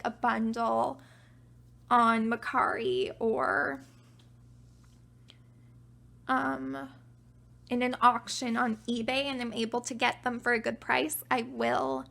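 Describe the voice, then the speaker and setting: A young woman casually talking through her collection, chatty and self-deprecating, her voice getting raspy from talking a while. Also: on the high side, sharp tone. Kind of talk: casual show-and-tell narration.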